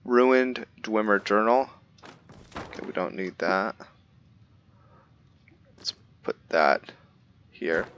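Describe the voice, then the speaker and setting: A man's voice: sits low, fairly bright tone. A man giving casual let's-play commentary, thinking aloud and narrating his own moves as "we". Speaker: gameplay video creator.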